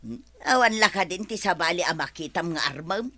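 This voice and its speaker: A woman's voice: lowish voice, animated, fairly quick, bright, forceful tone. A woman playing a nagging, teasing village auntie in a comedy radio drama, with an Ilocano accent.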